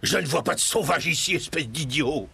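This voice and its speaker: A greedy colonial governor, as a storyteller puts him on, snapping out orders in a raspy, gravelly voice. The tone is bright and cutting, and it swings high and low as he bullies his men. A storybook villain. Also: animated, normal speed, heavily acted. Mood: barking orders. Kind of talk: storybook character voice.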